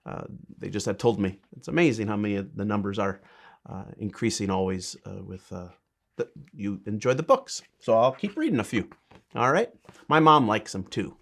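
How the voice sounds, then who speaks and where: A man's voice: lowish voice, expressive, moderate pace, gravelly, a bit bright. Man reading storybooks to children. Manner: simple, encouraging asides addressed to kids.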